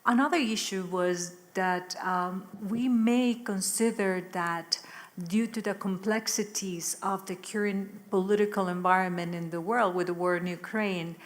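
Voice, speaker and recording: A woman's voice: mid-range voice, steady tone. A woman ambassador giving a formal, orderly statement at a conference, setting out her points one by one. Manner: measured, orderly, lists points.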